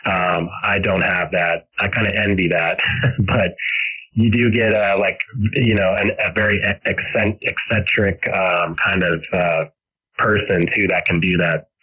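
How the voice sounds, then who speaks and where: A dull, band-limited radio transmission of a man with a very deep, husky voice. He chats casually and haltingly, with a self-deprecating manner. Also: fairly even intonation, pushed and bright. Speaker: amateur radio operator. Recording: dull, husky-sounding ham radio signal.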